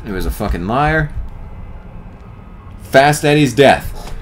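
A man doing a let's play commentary, exasperated, swearing and yelling at the game. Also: mid-range voice, expressive, takes it slowly. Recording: commentary over loud game music. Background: game music.

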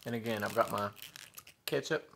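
A man's voice: on the low side, normal speed, creaky, fairly bright tone, slight background noise. A man in his thirties with a Southern US accent, chatting to viewers between bites of food in a living room. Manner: chatty, addresses viewers as y'all, chews between remarks. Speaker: mukbang vlogger. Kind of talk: casual eating show chat. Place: living room with sofa and window blinds. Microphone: camera in front at table distance.

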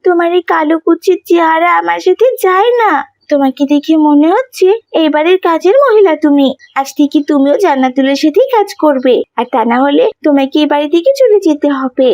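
A woman's voice: high-pitched, brisk, darkish tone, dry recording, studio quality. A woman voicing a young schoolgirl in a dramatic family cartoon, earnest and childlike. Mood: shocked, scornful.

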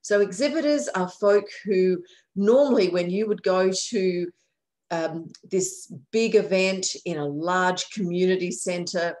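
A woman's voice: fairly low pitch, unhurried, a little mellow. A woman from a county aging services office, guiding viewers through a website on a shared screen with a friendly, explanatory manner. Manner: friendly, explanatory, self-correcting. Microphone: computer mic on a video call.

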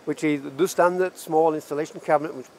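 Higher-pitched older man presenting products. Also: steady tone, fast talker, darkish tone, slight background noise. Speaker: head of research and development presenting products. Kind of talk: semi-scripted product presentation. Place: trade show booth in a large exhibition hall.

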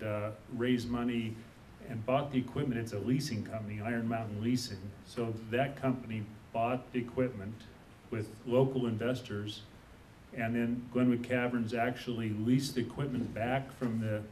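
A man speaking on a conference panel, telling his business story in a halting, unscripted way with many fillers and restarts. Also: a little mellow. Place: conference room. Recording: distant mic, faint rumble.

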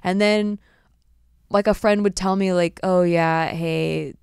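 A woman in her thirties hosting a casual podcast, chatty and animated, recorded on a desk mic in a small studio. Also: lowish voice, slow pace, gravelly, clear, resonant tone, no echo. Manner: animated, chatty, slips into playful put-on voices. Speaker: podcast host. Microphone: desk mic on a boom arm.

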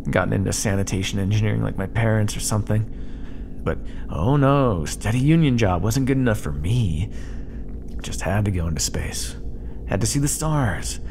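A man's voice: on the low side, expressive, normal speed. A man playing a sardonic, battered starship first officer dictating a log in an audio roleplay, wry and exasperated, with muttered asides. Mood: bitter, self-mocking. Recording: clear background noise under the voice.

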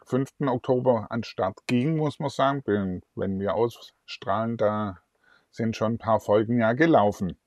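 A man's voice: on the low side, measured pace, a little mellow, no room sound, studio quality. A middle-aged man hosting a celebrity interview, chatty and upbeat, wearing a lapel mic indoors. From southwest Germany. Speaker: host.

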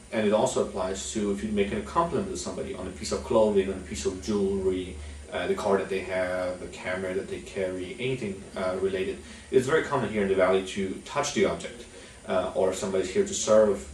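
A young man speaks in a low, deep voice, pausing often on "uh" as he explains things slowly. He sits in an office, recorded from a little way off, so the room adds a clear echo. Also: darkish tone. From Poland. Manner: frequent "uh" fillers, measured delivery. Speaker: chamber of commerce executive. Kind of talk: instructional talk to camera. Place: office with logo backdrop. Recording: echoey room, mic at a distance.

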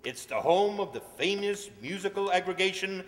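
A man's voice: high voice, lively intonation, normal speed. A man playing a cheery, chatty bus tour guide in an old-time radio comedy, announcing to his passengers with showy patter. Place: stage of a large hall. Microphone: stand microphone. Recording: echoey hall stage performance.

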